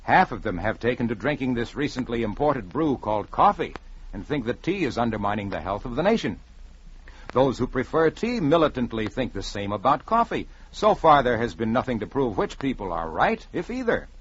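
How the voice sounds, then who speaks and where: A man's voice: mid-range voice, very animated, brisk, husky, muffled recording. A man voicing a cunning condemned young prisoner in a radio story, formal, deferential and persuasive, on a vintage recording. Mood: calm, persuasive.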